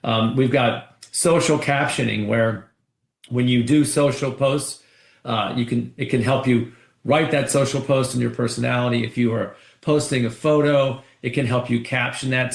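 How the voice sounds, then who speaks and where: A man speaking as a company executive on an earnings call, pitching products conversationally with frequent restarts and repeated words. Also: creaky, reverberant.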